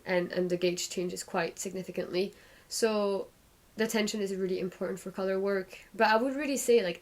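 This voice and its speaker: A woman hosting a casual knitting podcast, rambling and restarting her sentences as she knits, her voice a little croaky. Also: medium pitch. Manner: rambling, self-correcting, chatty. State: slightly croaky voice. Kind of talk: casual knit-and-chat vlog.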